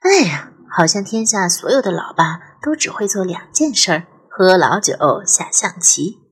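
Woman playing a teasing teen daughter, affectionate, expressive, music behind, audio drama. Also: lowish voice, normal speed, husky, heavily acted. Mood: playful, teasing. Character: nineteen-year-old daughter, playful, teasing, affectionate. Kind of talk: audio drama character dialogue.

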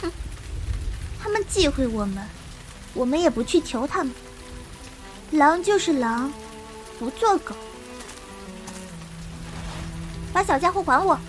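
A woman's voice: high-pitched, lively intonation, moderate pace, pauses often, soft background music. A woman playing a fierce, blunt young woman raised by wolves in a Chinese period audio drama. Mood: defiant, hurt. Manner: blunt, questioning.

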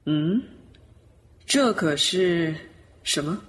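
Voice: medium pitch, lively intonation, extremely slow. A voice actor playing a sly, smug male death god who teases and mocks in an audio drama. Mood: teasing. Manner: teasing, mocking. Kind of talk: Chinese audio drama acting.

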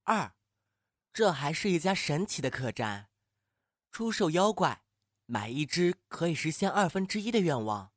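Lively, flustered young man, acted by a man. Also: fairly high pitch, normal speed, no room sound, clean studio sound. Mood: skeptical. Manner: stammering, jumpy, incredulous. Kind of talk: Chinese audio drama acting. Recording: faint music behind the voice.

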